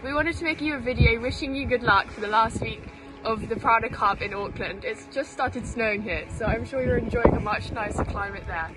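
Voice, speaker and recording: A woman's voice: on the high side, fairly quick, loud music behind the voice, poor audio. A teenage girl, the cadet captain of a sailing club, giving an earnest, polite video message outdoors by a harbour. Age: teens.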